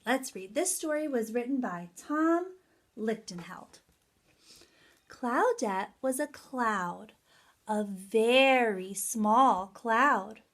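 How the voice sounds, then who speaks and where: A woman leads a story time for young children as their teacher. Her voice is fairly high and very lively in pitch, and she takes her time. She talks directly to the children in an animated, sing-song way. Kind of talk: children's story time lesson with songs and read-aloud.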